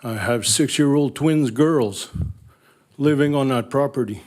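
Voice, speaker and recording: A man's voice: mid-range voice, unhurried, a little mellow. An older man with a French Canadian accent, French his first language, speaking earnestly and bluntly as a resident addressing a town council. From Northern Ontario, Canada. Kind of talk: public comment at a council meeting.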